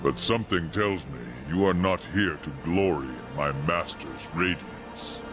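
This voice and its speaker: An actor playing a solemn angel warrior who guards an outpost of light in a fantasy game, speaking formally and with measure. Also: male voice, deep voice, unhurried, music in the background. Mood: proud, welcoming.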